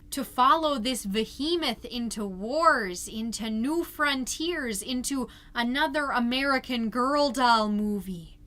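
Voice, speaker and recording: Solemn ominous book reader, woman acting it, quiet and hushed, slow deliberate lines, comedy podcast drama. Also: on the high side. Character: solemn, ominous reader of mock-inspirational horse essay. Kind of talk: mock-solemn dramatic reading.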